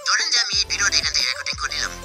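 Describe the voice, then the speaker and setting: A man's voice: high voice, expressive, racing, music in the background. A man voicing a silly teasing prankster in a repetitive chant through a voice-changer filter. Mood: mocking, playful.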